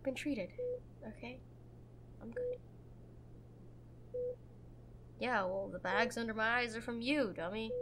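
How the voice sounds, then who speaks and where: A woman playing a protective, prickly young girlfriend in a roleplay audio drama, tender but bossy and halting when vulnerable. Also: on the high side, sing-song, fairly bright tone, slight background noise. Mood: relieved, gruff. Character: protective, prickly young woman, frightened for her injured lover.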